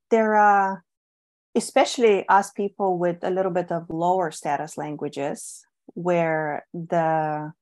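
A woman from Bulgaria, Bulgarian her first language, answering interview questions in a thoughtful way with many ums and restarts. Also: lowish voice. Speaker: guest. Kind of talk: relaxed podcast interview.

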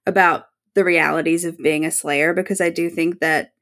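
A woman's voice: fairly low pitch, steady tone, moderate pace, a bit bright. A woman guesting on a podcast, talking in a casual, chatty way with plenty of "like". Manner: chatty, informal, says "like" often. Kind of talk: casual chat.

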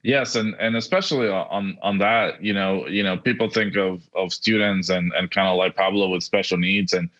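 A middle-aged man from Argentina, a native Spanish speaker, giving earnest, halting interview answers over dull, lo-fi audio. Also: sits low, fairly even intonation, a bit bright. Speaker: podcast guest, education technology executive.

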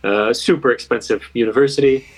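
A man's voice: mid-range voice, a little fast. A man from Bulgaria who later lived in the United States, talking informally and reflectively as an interview guest. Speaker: car designer, interview guest. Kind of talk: casual interview storytelling.

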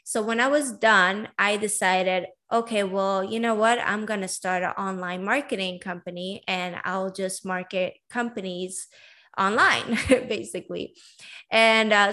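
A woman's voice: medium pitch, pushed and bright. Chatty woman telling her story. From Iran, raised in Sweden. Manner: chatty, many fillers and asides. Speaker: podcast host. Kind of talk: casual personal storytelling.

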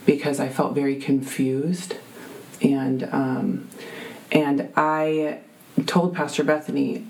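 A woman with a deep voice, telling a heartfelt faith testimony as a guest, full of ums and restarts. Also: fairly even intonation, takes it slowly, vocal fry, darkish tone, poor audio. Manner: earnest, many fillers and restarts.

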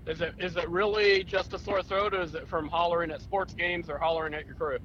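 A man's voice: fairly high pitch, fairly even intonation, brisk, fairly bright tone. A man co-hosting a casual podcast chat from a moving car, easygoing and candid with frequent fillers. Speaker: podcast co-host. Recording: muffled audio with road noise from a car.

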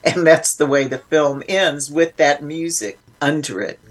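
An older woman speaking as an interview guest, reflective and conversational, thoughtful and emphatic with halting restarts. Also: deep voice, fairly even intonation, loud music behind the voice.

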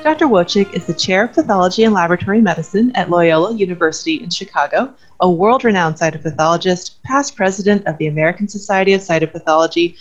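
A woman's voice: fairly low pitch, quick pace, few pauses. A woman hosting a podcast interview, friendly and enthusiastic, reading a scripted introduction. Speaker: podcast host. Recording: dull lo-fi audio with faint music.

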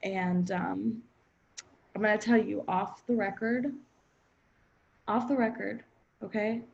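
A woman's voice: mid-range voice, steady tone, slow pace, gravelly, darkish tone, dull audio. A woman improvising a comedy role as a bold, boastful New York gangster. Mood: cagey, casual.

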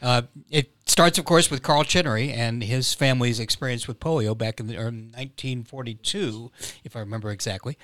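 A man, a playwright guest, recounting a story in a measured way with small fillers. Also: medium pitch, a bit bright, no echo. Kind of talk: storytelling in interview.

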